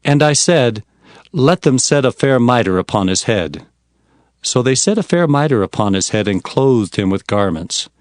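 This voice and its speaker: A man playing an earnest prophet who recounts his visions in formal, expressive storytelling for dramatized scripture. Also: medium pitch, unhurried, no echo, clean studio sound, natural voice. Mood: reverent. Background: faint music.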